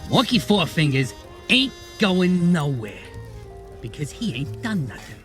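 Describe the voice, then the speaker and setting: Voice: mid-range voice, very animated, unhurried, over music. An actor playing a shifty, streetwise male bartender with slangy wise-guy talk in a noir audio drama. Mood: indignant.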